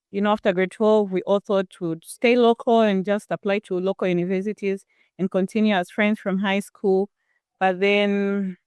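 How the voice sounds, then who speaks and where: A young woman from Zambia, a guest scholar telling her story in a lively, conversational way. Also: medium pitch, clear, resonant tone, no room sound, clean studio sound. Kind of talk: conversational storytelling on a video call.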